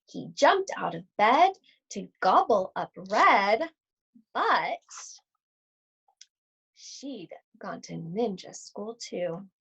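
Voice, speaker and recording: A woman reading a children's picture book aloud to schoolchildren in an animated, theatrical storytelling manner. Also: mid-range voice, extremely slow, vocal fry, pauses often, slightly rough. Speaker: read-aloud host at an elementary school. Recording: dull, lo-fi sound.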